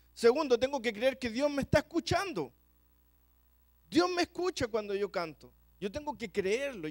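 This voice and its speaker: Fast-talking Chilean preacher, high voice. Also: male voice, no room sound. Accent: Chilean Spanish. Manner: fast-talking, repeats words when rushing. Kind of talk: sermon. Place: church.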